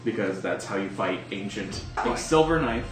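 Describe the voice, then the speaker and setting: Guy at a game table describing his character, rambly and halting, echoey room, music behind him. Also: lowish voice, lively intonation. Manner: halting, rambling, filler-heavy. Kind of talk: improvised casual character description.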